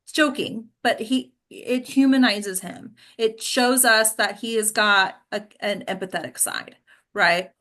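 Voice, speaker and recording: A woman's voice: mid-range voice, measured pace, bright, forceful tone. A woman leading an informal group discussion as an acting coach, speaking in an encouraging, emphatic way with frequent check-in questions.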